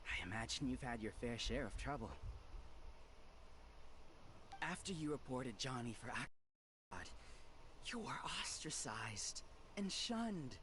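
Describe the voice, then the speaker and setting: Persuasive cultish researcher in a game, mid voice, very animated, bit of noise behind. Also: male voice, medium pitch, measured pace. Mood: insinuating, persuasive. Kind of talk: anime game voice acting.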